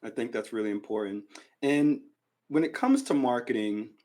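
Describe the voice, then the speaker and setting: A podcast host, a man, asks long, loose questions and hedges them with lots of "like" and "you know." His voice sits at a middle pitch. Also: normal speed, darkish tone. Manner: rambling, hedging questions with many "like"s. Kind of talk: casual interview questions.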